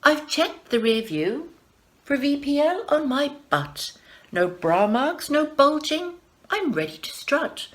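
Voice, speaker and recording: A woman giving a wry, expressive recital of comic rhyming verse. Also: high-pitched, slow. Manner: wry, expressive, exasperated asides. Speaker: performer reading a piece.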